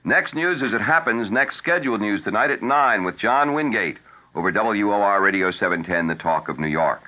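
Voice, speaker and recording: A narrow, tinny vintage AM radio broadcast carries an American newsman with a fairly low voice. He reads scripted bulletins like a 1970s anchor, formal and measured throughout. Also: expressive. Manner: formal, measured announcer delivery.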